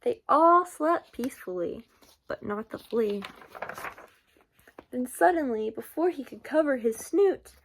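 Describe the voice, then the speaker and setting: Woman reading a kids book out loud, high voice, really slow and sing-songy. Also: expressive, a little hiss or hum. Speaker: picture book reader. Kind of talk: children's storybook read-aloud.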